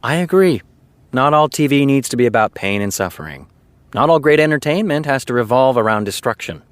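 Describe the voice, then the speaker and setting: A man playing a measured, self-assured television writer in a naturalistic audio drama. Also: medium pitch, lively intonation, smooth, dry recording, close to an everyday voice. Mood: measured, teasing.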